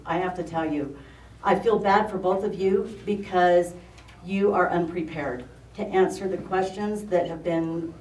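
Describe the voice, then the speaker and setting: An older woman with a loud voice giving an emphatic, frustrated public comment at a county meeting. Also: fairly low pitch. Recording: echoey room, distant mic, faint noise.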